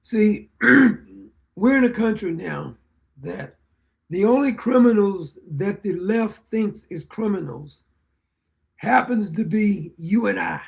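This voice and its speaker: A man hosting a political talk show, ranting bluntly and rambling, his voice going hoarse as he keeps clearing his throat. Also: high-pitched, animated, slow pace. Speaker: show host. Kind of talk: political commentary monologue.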